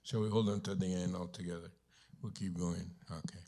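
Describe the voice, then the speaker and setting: A man's voice: low voice, steady tone, a little fast, warm tone. A man moderating a conference panel, introducing speakers hesitantly with many fillers.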